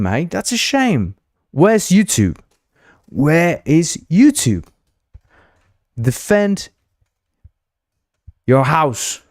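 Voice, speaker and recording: A man's voice: medium pitch, darkish tone, no echo, clean studio sound. A man whose first language is Spanish, hosting a casual live coding stream, thinking aloud, repeating himself and playfully teasing his chat. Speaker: game developer streaming live.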